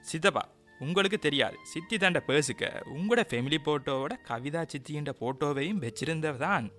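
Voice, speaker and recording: An actor with a Sri Lankan Tamil accent plays an earnest young nephew in a radio drama. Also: male voice, fairly high pitch, lively intonation, fairly quick, pushed and bright, faint music behind.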